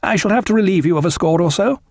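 A man acting a sneering young naval officer, pitched high. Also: quick pace, darkish tone, dry recording, clean studio sound. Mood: scornful. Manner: clipped, officious, scornful. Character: young sneering naval lieutenant, haughty and petulant.

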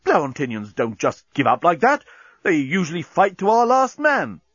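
A man's voice: a little high, huge pitch swings, normal speed, fairly bright tone, husky, dry recording, lo-fi sound, voice pushed up, exaggerated voice. A man voicing a stern, indignant starship captain with a pompous, formal manner in a storybook.

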